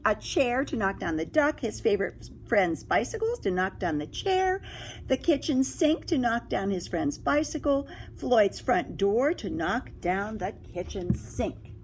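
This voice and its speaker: A woman teacher reading a picture book aloud to children, expressive and exclaiming, often breaking off to draw her listeners in with questions. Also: a little high, a little hiss or hum. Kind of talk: read-aloud storytelling with asides and questions to young listeners.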